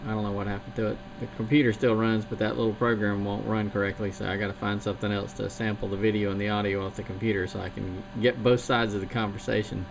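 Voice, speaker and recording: A man's voice: on the low side, little pitch movement, quick pace, vocal fry, hardly any pauses. A man chatting casually and rambling a bit as he talks on a CB radio. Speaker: CB radio operator. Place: home radio station. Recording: noisy radio transmission.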